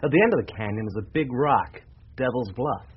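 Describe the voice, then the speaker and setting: An actor playing an eager, persistent young small-town reporter in a radio drama, polite and a little hesitant. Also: male voice, mid-range voice, animated, a little fast, slightly rough. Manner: hesitant, polite, then pleading. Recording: slightly muffled, faintly noisy radio recording.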